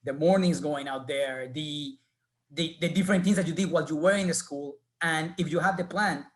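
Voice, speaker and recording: A man's voice: on the high side, moderate pace. Colombian-accented man telling his career story. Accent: Colombian Spanish. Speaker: alumnus panelist. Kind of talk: webinar panel answer.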